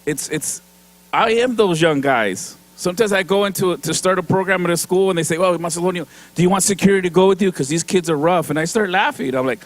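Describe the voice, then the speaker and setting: Chatty man on stage, high voice. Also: fast. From Mexican-American from San Diego. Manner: chatty, laughs often, mixes in Spanish. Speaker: award honoree giving a speech. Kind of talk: casual speech with stage humor. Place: event stage before an audience.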